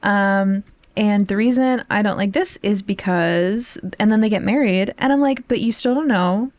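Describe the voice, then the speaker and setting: A woman's voice: medium pitch, normal speed, a little mellow, dry recording. A woman podcast host chatting informally about books, opinionated and emphatic, happy to swear. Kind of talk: casual opinionated book discussion.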